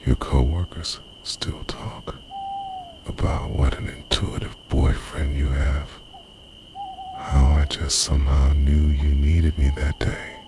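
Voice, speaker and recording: Man acting a very deep, hushed obsessive boyfriend. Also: steady tone, exaggerated voice. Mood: devoted, obsessive. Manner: hushed, breathy, deliberate, slipping into whispers. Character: obsessive, devoted time-travelling boyfriend, softly confessing. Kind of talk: intimate ASMR roleplay monologue. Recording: noisy night ambience with crickets.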